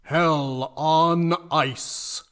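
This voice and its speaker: A man voicing a reckless, bombastic old man from a tabletop game story, with a gravelly, raspy, forceful voice. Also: fairly high pitch, fairly even intonation, extremely slow, pitched above the performer's own voice. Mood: booming, dramatic. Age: older.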